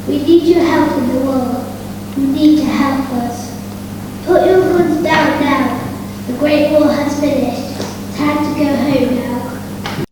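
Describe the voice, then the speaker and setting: A young female voice, high in pitch, recites verse. She sounds distant in a hugely reverberant hall. There is clear noise in the room behind her. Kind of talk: poem recitation.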